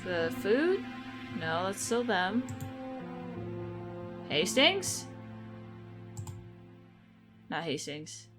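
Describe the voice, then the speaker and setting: A woman's voice: on the low side, sing-song, extremely slow, long pauses, over music. A woman gaming and commentating casually, chatting and thinking aloud as she plays. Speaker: game streamer.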